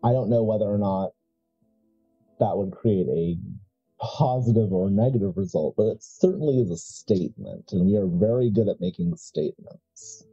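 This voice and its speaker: A very dark, low-voiced heir to an occult family, played by a man at a game table, who weighs each phrase with halting deliberation. He is proud and coldly calculating. Music sits behind the muffled sound. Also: lively intonation, slightly rough. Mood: proud, scheming. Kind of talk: improvised tabletop horror roleplay.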